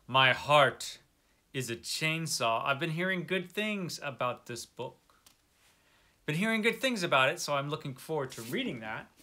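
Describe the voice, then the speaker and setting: A man's voice: on the high side, pushed and bright. A man hosting a book video, chatting casually to viewers in a wry, self-deprecating way. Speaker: host of a book review video channel. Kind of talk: casual chat to camera about books.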